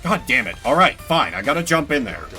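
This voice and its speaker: A man giving casual, animated and sarcastic gameplay commentary, swearing now and then. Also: a little high, a little fast, soft background music. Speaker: streamer playing and voicing a game solo.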